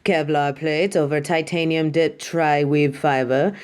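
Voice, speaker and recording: A woman's voice: low voice, fairly even intonation, unhurried, a little mellow. A woman playing a vain, imperious superhero costume designer in a theatrical audio roleplay, haughty, dramatic and doting toward the listener. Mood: proud, showy.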